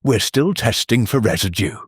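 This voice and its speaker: A man's voice: medium pitch, animated, normal speed, breathy edge, no echo, clean studio sound. A man voicing a wry, matter-of-fact police inspector.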